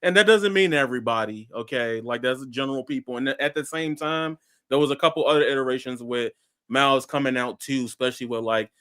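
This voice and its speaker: A man's voice: medium pitch, fairly quick, a bit bright, clear, resonant tone. A man who is a guest on a casual panel talk, speaking hesitantly with fillers and frequently checking "right?".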